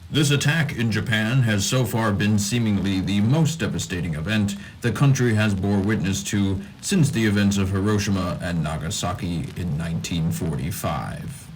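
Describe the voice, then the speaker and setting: A man playing a senior TV news anchor, delivering a formal scripted broadcast in a measured way. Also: lowish voice, a little hiss or hum, natural voice, rough recording.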